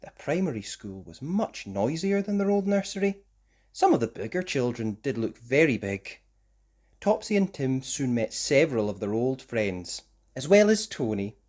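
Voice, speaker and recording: Brief: storybook narrator for young children, expressive and animated, with wide melodic swings. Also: medium pitch, moderate pace. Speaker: children's story reader, host.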